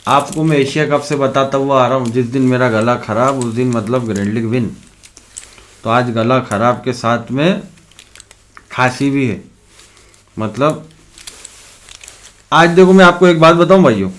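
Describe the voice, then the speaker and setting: A man's voice: medium pitch, slight background noise. A man with a sore throat and a cough, talking casually straight to his viewers as a fantasy cricket tipster, calling them brothers and checking in with "okay" again and again. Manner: chatty, keeps addressing viewers as brothers, repeats "okay". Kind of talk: casual direct-to-camera vlog.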